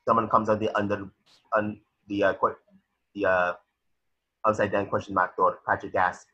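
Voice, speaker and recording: A man's voice: on the low side, little pitch movement, normal speed, bright, forceful tone, husky, highs cut off. A man narrating a cartoon script's stage directions in a matter-of-fact way, sometimes stumbling over the words. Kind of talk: reading a cartoon script aloud.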